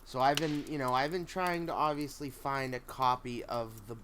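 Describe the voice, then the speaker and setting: A man hosting a story-reading livestream, chatting casually in a halting, think-out-loud way over background noise. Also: mid-range voice. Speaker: host of a spooky story reading stream. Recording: clear steady background noise.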